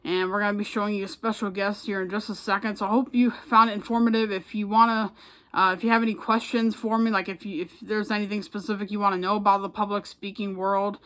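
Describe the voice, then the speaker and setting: An American woman talks casually to the camera, as a vlogger would. Her delivery is informal and rambling, with a modest, self-effacing way of putting things. Her pitch sits in the middle for a woman. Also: flat delivery, quick pace, a bit bright. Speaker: public address announcer and vlogger.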